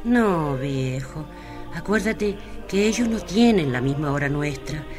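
Woman playing tender elderly wife, loud music behind. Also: sits low, expressive, a little slow. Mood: consoling. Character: tender, devout elderly wife, gently consoling. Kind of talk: radio drama dialogue.

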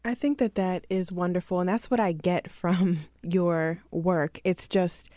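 A woman's voice: fairly low pitch, steady tone, unhurried, warm tone, dry recording, clean studio sound. A woman hosting a podcast, interviewing a guest in an encouraging, affirming way. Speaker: podcast host.

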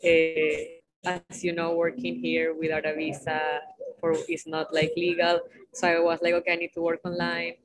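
Venezuelan woman, low-pitched, short answers. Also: completely flat delivery, moderate pace, rough recording. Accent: Venezuelan Spanish. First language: Spanish. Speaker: guest, virtual assistant. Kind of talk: casual interview answers.

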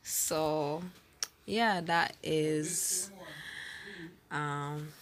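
A woman's voice: sits low, extremely slow. A woman with a Barbadian accent, chatting hesitantly with giggles and fillers about her faith as co-host. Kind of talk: casual faith chat.

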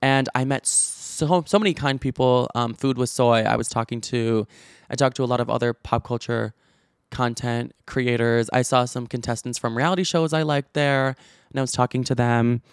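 A man's voice: medium pitch, steady tone, moderate pace, smooth, no echo, studio quality. A man who stutters, hosting a podcast and telling stories in a chatty, gossipy way. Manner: chatty, gossipy storytelling with many likes and restarts. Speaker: podcast host. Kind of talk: casual chat.

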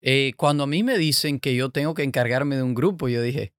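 Cuban man, casual testimony, halting speech, mid pitch, dry close sound. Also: dry recording. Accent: Cuban Spanish. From Cuba. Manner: halting, many fillers and restarts. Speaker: podcast co-host.

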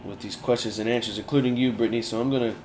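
A man's voice: mid-range voice, fairly even intonation, racing, darkish tone. Casual male host in an echoey room. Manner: casual, affirming, many backchannels. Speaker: podcast host. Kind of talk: podcast interview conversation. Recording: echoey room with faint noise.

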